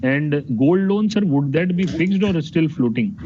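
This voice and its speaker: A man's voice: on the high side, animated, a little slow, warm tone. An Indian man, a financial analyst, politely probing management with questions on an earnings call. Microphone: conference call line. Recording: dull noisy conference phone line.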